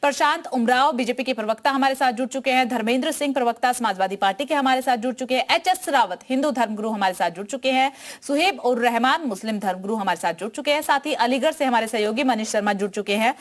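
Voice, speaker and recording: A woman's voice: on the high side, fast. A woman anchoring live TV news as debate host, emphatic and repeating phrases for stress.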